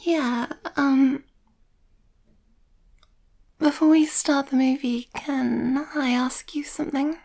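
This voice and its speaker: Shy young werewolf girlfriend played by a woman for ASMR roleplay: high, mellow, hesitant and often half-whispered. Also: fairly even intonation, slow pace, gravelly, long pauses, full character voice. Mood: nervous, tender.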